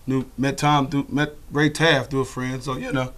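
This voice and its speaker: A man's voice: medium pitch, level tone, normal speed, a bit bright. A man bragging about himself offhandedly as a guest on a local talk show. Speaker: bounty hunter guest. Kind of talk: casual interview chat. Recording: distant mic with faint noise.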